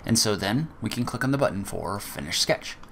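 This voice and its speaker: A man with a very low voice talks through a software tutorial one step at a time. His delivery is methodical and casual, with the odd filler. Faint keyboard typing sits in the background. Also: expressive, a little fast, gravelly, a little hiss or hum. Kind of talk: screen-recorded software walkthrough.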